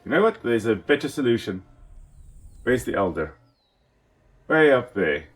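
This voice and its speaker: A man's voice: mid-range voice, big pitch swings, measured pace. A man from South Africa with a South African accent and Afrikaans as his first language, giving casual, enthusiastic gameplay commentary as a let's play host. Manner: enthusiastic, chatty, talks to the animals on screen.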